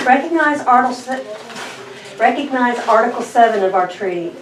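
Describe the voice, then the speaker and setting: A woman's voice: fairly high pitch, expressive, brisk, close to an everyday voice. A woman playing a dutiful spaceship navigator at a sci-fi table read. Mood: formal, apologetic. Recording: echoey room, distant mic, faint noise.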